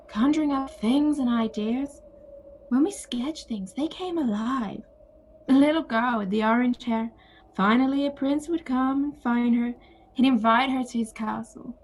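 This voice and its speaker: An actress playing a gentle, conciliatory grown-up sister with a nostalgic streak in an audio play. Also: female voice, high-pitched, slight background noise, low-quality recording.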